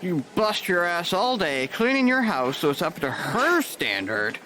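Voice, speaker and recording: Guy doing a snarky foul-mouthed hospital worker, lively voice, bit of background hum. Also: sits high, measured pace, exaggerated voice, low-quality recording. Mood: sardonic. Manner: sarcastic, crude, animated. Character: sardonic male hospital worker, cynical.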